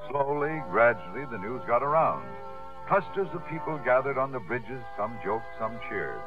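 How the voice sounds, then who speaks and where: A man's voice: mid-range voice, sing-song, moderate pace, close to an everyday voice. A man acting as narrator tells the story in dramatic 1950s radio style, his medium voice rising and falling widely. Music plays behind him on a narrow, tinny old broadcast.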